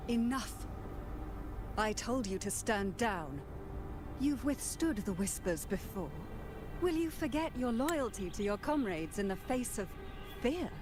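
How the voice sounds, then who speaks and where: Commanding noblewoman over loud music. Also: on the high side, lively intonation. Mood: stern, commanding. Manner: firm, authoritative, measured. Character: commanding, composed young noblewoman steadying her frightened comrades. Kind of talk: dramatic video game voice acting. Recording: loud game music behind.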